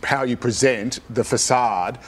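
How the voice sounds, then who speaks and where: Male Australian panellist, mid-pitched, colloquial and emphatic, airing opinions in discussion. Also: fairly even intonation, measured pace, breathy edge. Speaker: radio panellist.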